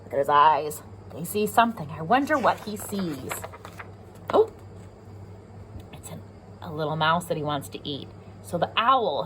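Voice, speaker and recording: A woman's voice: medium pitch, fairly bright tone, slight background noise, rough recording. A woman with an American accent reading a picture book aloud to young children, explaining simply and asking them questions as a pre-K teacher.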